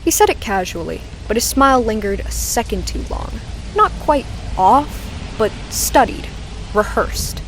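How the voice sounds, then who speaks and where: A woman narrating a scripted horror story in a measured, suspenseful manner with dramatic pauses. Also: a little high, very animated, a little hiss or hum, low-quality recording.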